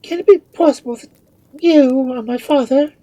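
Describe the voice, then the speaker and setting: A woman's voice: sits high, moderate pace, mellow, no echo, voice pushed up, natural voice. A woman voicing a despairing young queen in a story, with theatrical, wailing delivery. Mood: astonished. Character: despairing, haughty young queen, melodramatic and fearful.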